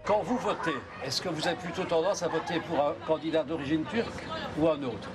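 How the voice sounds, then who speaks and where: High, rapid-fire street interviewer. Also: male voice, over loud music, a loud crowd. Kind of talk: probing vox-pop interviewing. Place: outdoors on city streets. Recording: noisy city street with traffic.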